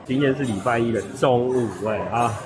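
A man's voice: medium pitch, steady tone, fairly quick, over music. A man with a Taiwanese Mandarin accent, chatting casually as he walks through a busy hotel buffet and lists the dishes one by one, often adding little tags like "ha" and "a". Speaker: food vlogger. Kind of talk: casual restaurant walkthrough. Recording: noisy restaurant background.

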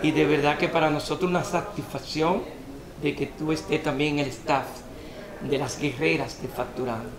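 A man's voice: a little high, steady tone, moderate pace, echoey room, crowd noise, low-quality recording. A middle-aged man with a Dominican accent, chattily presenting a variety show as host. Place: indoor restaurant lounge.

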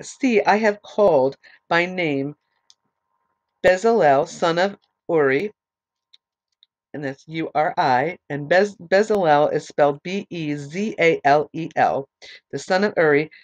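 A woman teaching a Bible study whose voice is fading from allergies. She reads scripture aloud slowly, adds short explanations, and spells out names letter by letter. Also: lowish voice, highs cut off. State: losing her voice from allergies.

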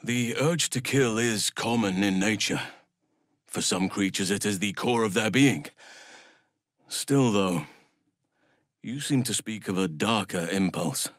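A gentle druid healer from a fantasy game, solemn and devoted to nature. His low voice moves in measured, earnest phrases with formal wording, recorded close and dry. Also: dry recording. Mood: grave. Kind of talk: fantasy video game voice acting.

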